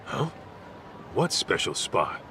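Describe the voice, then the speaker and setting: An actor playing an easygoing, gruff middle-aged private detective with streetwise phrasing in an English-dubbed video game. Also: male voice, slow pace, pushed and bright, slight background noise. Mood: casual, probing.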